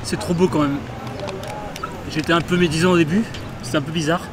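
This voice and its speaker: A man's voice: a little high, steady tone, brisk, noisy background. A man walking outdoors in a city, chatting enthusiastically to his viewers in a casual travel vlog. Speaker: travel vlogger. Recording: music playing behind.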